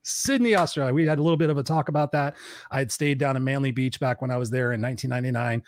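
A man hosting a live podcast, hoarse from a cold, talking in an energetic pep-talk way. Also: medium pitch, racing. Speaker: podcast host.

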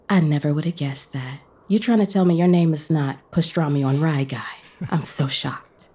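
A woman's voice: low voice, measured pace, mellow, breathy edge. A woman playing a playful, friendly diner owner who teases breezily, in a romantic audio drama. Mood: playful teasing. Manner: teasing, breezy banter.

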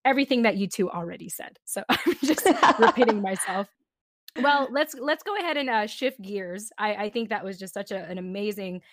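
A woman's voice: medium pitch, lively intonation, brisk, few pauses, no echo. A woman hosts a podcast in a loose, conversational way. She repeats words and laughs as she steers the talk. The sound is dry and close. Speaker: podcast host. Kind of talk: casual podcast hosting.

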